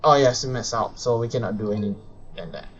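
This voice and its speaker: A man's voice: on the low side, lively intonation, a little fast. Dull-sounding male game streamer. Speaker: live streamer playing a video game. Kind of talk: casual game livestream reaction. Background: faint game music.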